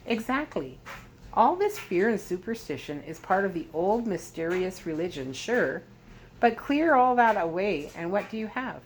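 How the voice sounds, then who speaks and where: A woman's voice: mid-range voice, big pitch swings, normal speed, natural voice. Storybook role: practical young man, read by a woman, earnest, reassuring, slight recording noise. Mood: brisk, eager.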